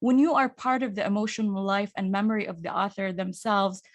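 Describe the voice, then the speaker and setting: A woman's voice: mid-range voice, fairly even intonation, brisk. A woman from Saudi Arabia with a Saudi Arabian accent, an actor and audiobook narrator talking thoughtfully in an interview, often restarting her sentences. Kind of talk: casual interview talk.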